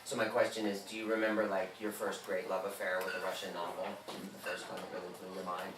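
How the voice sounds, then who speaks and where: A man's voice: deep voice, completely flat delivery, quick pace. A man moderating a bookstore conversation, asking long rambling questions full of fillers. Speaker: event moderator. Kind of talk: conversational interview. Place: bookstore event room. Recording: echoey room, distant mic, background noise.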